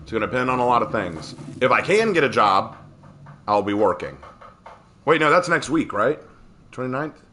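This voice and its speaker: Slightly hoarse man streaming a game. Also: mid-range voice, sing-song, normal speed, long pauses, sharp tone, slight background noise. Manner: talkative, opinionated, laughs at the game. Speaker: livestreamer. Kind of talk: casual gameplay commentary.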